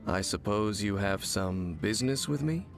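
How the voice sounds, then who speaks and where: An actor playing an aloof, mysterious male wanderer in an anime game, speaking formally and deliberately with music behind him. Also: lowish voice, expressive, vocal fry. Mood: measured. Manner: reserved, deliberate.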